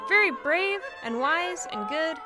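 A woman's voice: very high voice, expressive, a little slow, over loud music, pitched above the performer's own voice. A woman voicing a fussy, anxious male alien who pities his friend effusively in a story reading. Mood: anxious pity. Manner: effusive, solicitous, overdramatic.

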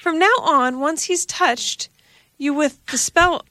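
Fierce mother cat, scolding and menacing, high and sharp, read by a woman. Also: slow, dry recording, clean studio sound, exaggerated voice.

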